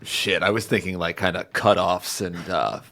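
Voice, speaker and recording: A player in a tabletop game, excitable and joking. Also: low-pitched, normal speed. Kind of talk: comedic tabletop roleplay.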